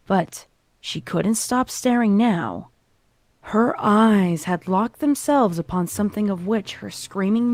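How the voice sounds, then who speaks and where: A woman's voice: medium pitch, darkish tone, dry recording. A woman reading a scripted story as narrator, with dramatic delivery.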